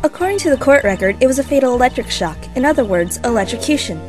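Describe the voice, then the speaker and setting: A woman acts an earnest rookie lady lawyer in a game dub. Her voice is high and very animated, easily flustered. Music sounds clearly behind her. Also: quick pace. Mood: determined. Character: nervous, earnest young female rookie defense attorney. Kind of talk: anime game fan dub acting.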